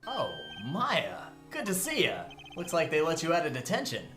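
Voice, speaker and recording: A woman playing a wisecracking young male lawyer in a comedic game dub. The voice sits low and swings widely in pitch, sarcastic and theatrical, with a roomy sound. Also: huge pitch swings, moderate pace, over music. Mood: wry, complaining. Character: young male defense lawyer, cocky, wisecracking, easily flustered.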